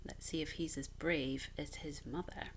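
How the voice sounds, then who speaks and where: A woman reading a children's story aloud in an expressive, measured, dramatic storytelling style. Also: low voice, slight background noise, low-quality recording.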